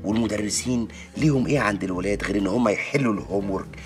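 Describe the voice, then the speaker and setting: A man's voice: fairly low pitch, very fast, music in the background, lightly acted. A man acting a coaxing, proverb-quoting husband in a TV comedy-drama, theatrical, with an Egyptian accent.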